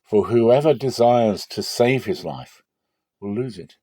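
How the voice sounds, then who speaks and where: A man voicing a solemn, authoritative male teacher and prophet in a scripture reading, grave, emphatic and deliberate. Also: medium pitch, lively intonation, mellow, no room sound. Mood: solemn, urgent.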